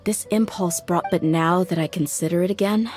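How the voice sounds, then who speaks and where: A woman acting a tough but warm-hearted young female mercenary in a video game, speaking frankly and reflectively. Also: fairly low pitch, moderate pace, faint music behind, natural voice. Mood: reassuring, reflective.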